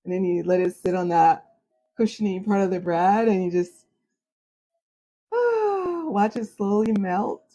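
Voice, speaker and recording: A woman's voice: medium pitch, mellow, poor audio. A woman whose first language is French, hosting an astrology livestream and chatting casually with viewers, full of "like" and "you know". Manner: self-described formal when speaking English.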